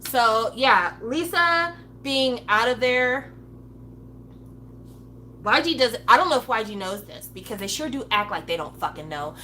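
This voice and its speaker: Blunt, animated woman with a bright voice. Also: a little high, measured pace, slight background noise, rough recording. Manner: blunt, swears freely, animated asides; acts out playful voices of others. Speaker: commentator. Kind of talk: casual opinion monologue.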